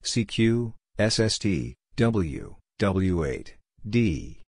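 A man's voice: lowish voice, animated, very slow, darkish tone, no room sound. A man reads out a practice drill in short, isolated phrases, spelling out letters and numbers one by one. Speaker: Morse code practice narrator. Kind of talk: spoken drill readout of radio exchanges.

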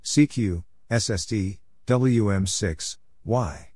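A man's voice: on the low side, slow, darkish tone, breathy edge, dry recording, clean studio sound. A man reading out call signs and short exchanges for Morse code practice, spelling them letter by letter in brief phrases.

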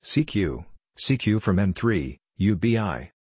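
A man reading out short scripted practice phrases with measured, deliberate enunciation, as a drill narrator. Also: deep voice, animated, darkish tone, breathy edge, dry recording, studio quality. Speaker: Morse code practice narrator.

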